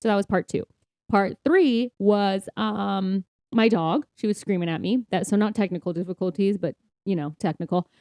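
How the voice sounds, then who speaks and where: A woman's voice: medium pitch, normal speed, a little mellow, no echo, clean studio sound. A woman co-hosting a podcast in casual chat, with an upbeat manner and a readiness to laugh as she talks. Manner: upbeat, laughs often while talking. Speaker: podcast co-host.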